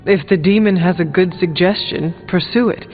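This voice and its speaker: A guarded, resolute demon hunter played by a man talks in a high male voice, blunt and deliberate, like game dialogue. It sounds narrow as a phone, in a long reverb over faint music. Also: natural voice. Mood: resolute, serious. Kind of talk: scripted video game dialogue.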